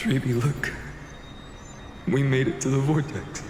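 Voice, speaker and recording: A man playing a young, noble, tragic hero in a fantasy game, earnest and burdened, with weighty, halting pauses. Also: medium pitch, steady tone, noisy background, close to an everyday voice. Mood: weary, grieving. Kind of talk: dramatic fantasy game voice acting. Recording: echoey hall reverb under music.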